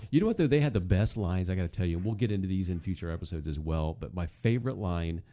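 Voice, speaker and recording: A man's voice: low-pitched, animated, fast talker, warm tone. A man co-hosting a podcast, chatting casually and with amusement about old television. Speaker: podcast co-host. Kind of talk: casual chat, nostalgic TV commentary.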